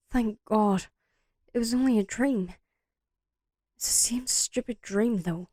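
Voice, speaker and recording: A woman's voice: on the high side, takes it slowly, warm tone, dry recording, studio quality. A young woman playing a wary, dryly sarcastic college student in an audio drama. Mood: weary. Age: young adult. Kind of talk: audio drama acting with self-talk.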